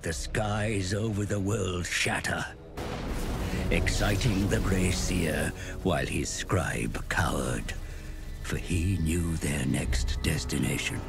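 A portentous storyteller of a dark fantasy game, speaking very low and slowly. The delivery is theatrical and ominous, with loud music underneath. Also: male voice, vocal fry, full character voice. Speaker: narrator. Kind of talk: dramatic scripted fantasy game narration. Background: dramatic music.

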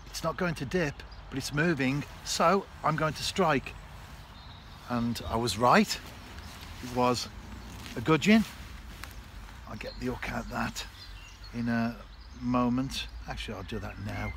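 A man in his fifties giving a casual instructional fishing vlog in a measured, explanatory way, in short phrases. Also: mid-range voice, fairly bright tone. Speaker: angler and vlogger. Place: outdoors on a canal bank. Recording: clear outdoor background noise.

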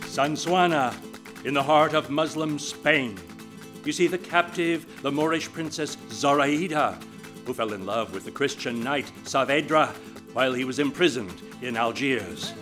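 Man playing a slow, theatrical showman. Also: medium pitch, a bit bright, faint music behind, natural voice. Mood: grand, theatrical. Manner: theatrical, deliberate. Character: grave showman puppeteer presenting his tale. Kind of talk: puppet film storytelling and dialogue.

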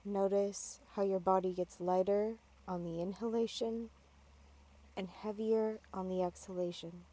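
A woman guiding a yoga nidra meditation, giving calm, measured, gentle instructions with long pauses. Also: medium pitch. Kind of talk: guided meditation, slow soothing instruction.

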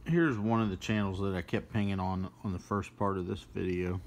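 Man, low gravelly voice, casual hobbyist demo, some background noise. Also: fairly even intonation, fairly quick. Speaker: shortwave radio hobbyist presenting a demonstration. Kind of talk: casual unscripted demonstration.

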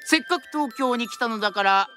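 A woman's voice: fairly high pitch, brisk, fairly bright tone, soft background music. A woman narrating a scripted story in a formal, polite storyteller's manner.

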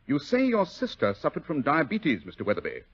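An actor in an old radio drama playing a shrewd, commanding detective who questions precisely and wryly. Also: male voice, a little high, huge pitch swings, a little fast, a bit bright, slightly rough, heavily acted. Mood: analytical. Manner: precise, incisive, questioning, wry. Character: shrewd, commanding, coolly analytical male detective. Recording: muffled old radio recording.

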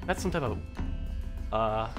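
A young man doing excited, improvised roleplay commentary over a video game, urgently shouting commands to teammates. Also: on the low side, a little slow. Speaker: gaming video host playing a roleplay game. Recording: loud music behind the voice. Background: loud music.

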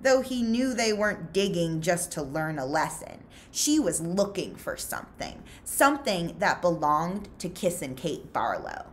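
Woman, thirties, expressive read-aloud, unhurried pace, animated intonation. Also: lowish voice, slight background noise. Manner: expressive, deliberate. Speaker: librarian reading a children's novel aloud. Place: small furnished room indoors.

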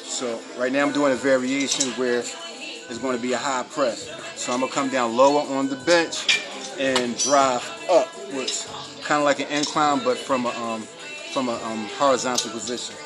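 A man talks through a workout as a fitness trainer, loose and slangy, with bursts of hype. Music plays loudly behind him in the gym. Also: mid-range voice, steady tone, normal speed. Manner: hyped, motivational, loose slangy talk. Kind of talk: casual workout vlog coaching. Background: music playing.

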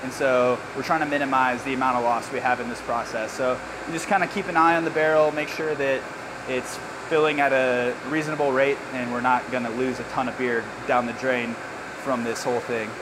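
A man in his thirties working as a brewer, explaining barrel filling in a relaxed, casual way on a brewery floor. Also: mid-range voice, brisk. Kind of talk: hands-on explanation. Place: large brewery floor with steel tanks, indoors. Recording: clear machinery and air-con noise.

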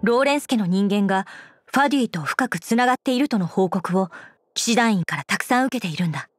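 An actress playing an earnest, composed young woman knight commander in an anime game, speaking in a formal, measured, dutiful way. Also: medium pitch, animated, soft background music, lightly acted. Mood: serious.

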